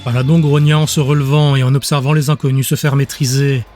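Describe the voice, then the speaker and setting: A man reads a dramatic fantasy audiobook as narrator. His voice sits at a medium pitch, with music clearly audible behind the scripted storytelling. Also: little pitch movement, fast talker, a little mellow.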